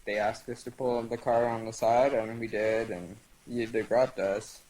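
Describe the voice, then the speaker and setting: A young man from Venezuela whose first language is Spanish, telling stories in a halting casual interview with many fillers. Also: mid-range voice, steady tone, a little mellow, poor audio. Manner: halting, lots of fillers and restarts. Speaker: guest. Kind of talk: casual interview over voice chat.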